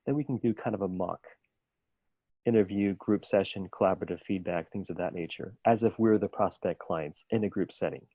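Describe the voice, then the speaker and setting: A man coach talking through a work idea in a measured, tentative way. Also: deep voice, dark tone, slightly rough, no room sound. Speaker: business English coach. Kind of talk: casual work conversation.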